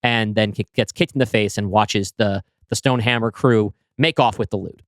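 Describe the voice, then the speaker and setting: A man co-hosting a podcast, walking his co-host through his own screenplay and reading scenes aloud, with an animated storyteller's delivery and frequent fillers. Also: fairly low pitch, normal speed, no room sound, clean studio sound.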